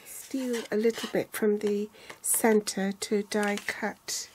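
An older woman calmly walking through a card-making tutorial step by step, reading out measurements in inches and centimeters. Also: medium pitch, a little hiss or hum. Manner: calm, measured, reads out sizes in inches and centimeters.

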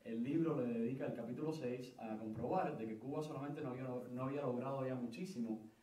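A man's voice: medium pitch, quick pace, warm tone. Young man answering, hesitant, roomy and distant. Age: young adult. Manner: hesitant, many fillers and restarts. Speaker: book co-author on a panel. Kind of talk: explanatory panel answer. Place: conference room, seated at a panel table. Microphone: table stand mic.

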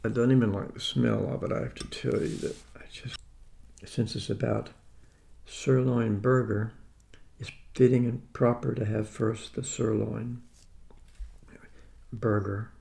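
A man's voice: mid-range voice, fairly even intonation, vocal fry, dark tone. A man in his seventies chatting wryly while eating lunch at his dining table, recorded by a camera on the table. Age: 70s. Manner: chatty, wry, unhurried. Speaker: vlogger. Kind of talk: casual talk while eating. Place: dining room at home.

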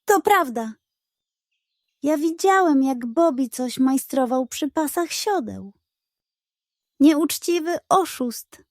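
An indignant frontier villager from a storybook, put on by a woman. The voice is pitched high and exclaims with outrage. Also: lively intonation, takes it slowly, long pauses, a little mellow, dry recording, clean studio sound, exaggerated voice. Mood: accusing. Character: indignant townsfolk in a Wild West crowd.

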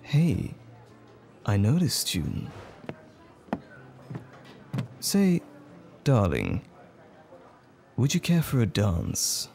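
Man acting as a slow-spoken, bashful romantic date. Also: on the low side, vocal fry, darkish tone, full character voice. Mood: tender, flirty. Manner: tender, hesitant, lightly chuckling flirtation. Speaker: voice actor playing a date. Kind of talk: romantic ASMR roleplay acting. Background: music.

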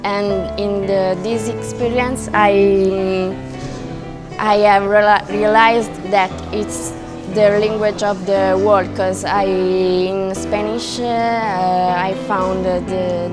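Casting: woman, 20s, Italian accent, halting English, little pitch movement, measured pace, interview style. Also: little pitch movement. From Italy. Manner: halting, searching for English words. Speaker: dancer. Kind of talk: casual documentary interview. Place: dark indoor dance studio. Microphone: lapel mic. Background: instrumental music.

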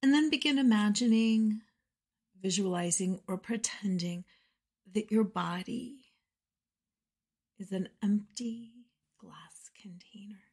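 A woman leading a guided meditation in a soft, breathy, soothing voice with measured phrasing and pauses. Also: medium pitch. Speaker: meditation guide and podcast host.

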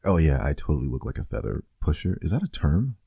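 A man's voice: rumbling low, big pitch swings, fast talker, vocal fry, mellow, husky, no echo, studio quality, full character voice. A man playing a teasing, affectionate boyfriend in an intimate, casual ASMR roleplay, murmuring playfully.

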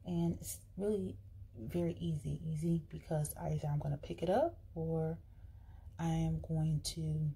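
A woman talks through her plans at an indoor table in a casual vlog, chatty and full of fillers and restarts. Her voice is very husky with a gravelly fry, mellow and dark. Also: sits low, fairly even intonation, a little slow, poor audio. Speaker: vlogger. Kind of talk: casual vlog, planning meals while writing.

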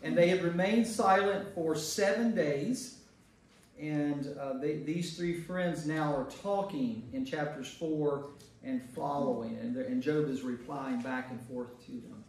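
A man's voice: on the high side, unhurried, darkish tone. An American man leading a Bible study as a priest, speaking informally and conversationally to a group and checking in with questions. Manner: conversational, puts questions to listeners, checking in with "right?". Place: indoor church meeting room with a group. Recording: echoey room from far off, noisy.

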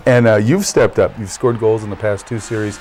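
A man's voice: on the low side, steady tone, fairly quick, darkish tone, a little hiss or hum, low-quality recording. A young man hosting the sports segment of a local TV newscast, interviewing a guest in an upbeat broadcast manner with a few small stumbles. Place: small local TV news studio, indoors. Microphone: lapel mic.

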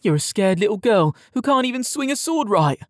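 A man's voice: fairly high pitch, sing-song, moderate pace, a little mellow, slightly rough, dry recording, studio quality, pitched above the performer's own voice. A man voicing a mocking, insolent stable boy in an audiobook, taunting and bullying. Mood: menacing. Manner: taunting, sneering.

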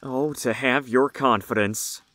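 A man's voice: medium pitch, fairly even intonation, measured pace, bright, forceful tone, dry recording. A man playing an anxious, hesitant male villager fretting over a friend in an audio drama. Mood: worried. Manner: hesitant, worried.

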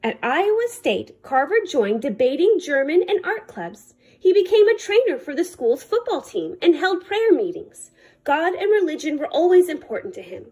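High, lively woman reading a picture book. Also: moderate pace. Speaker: librarian reading aloud. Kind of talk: read-aloud children's picture book narration.

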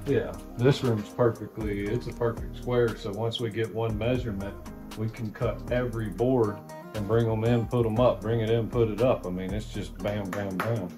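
A man's voice: fairly low pitch, fairly even intonation, moderate pace. A man in his thirties casually explaining carpentry plans in an unfinished room, folksy and drawling. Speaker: vlog co-host renovating a houseboat. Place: unfinished room of a houseboat, indoors. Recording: music playing behind.

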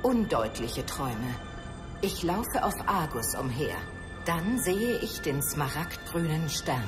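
Casting: troubled female warrior, restrained and quietly determined, lowish, fantasy audio drama. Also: big pitch swings, takes it slowly, music in the background, exaggerated voice. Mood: hesitant, uneasy.

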